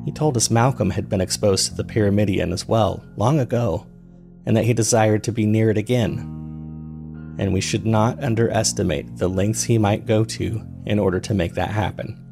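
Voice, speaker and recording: A man narrating a first-person audio drama as a weary, reflective man, measured and introspective in his storytelling. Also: on the low side, vocal fry, mellow, soft background music. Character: weary man recounting strange events, reflective and uneasy. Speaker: narrator and host of a fiction podcast.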